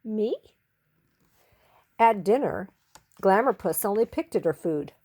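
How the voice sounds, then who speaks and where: A woman reading a children's story expressively, with theatrical, drawn-out emphasis on key words. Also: medium pitch, lively intonation, dark tone, husky.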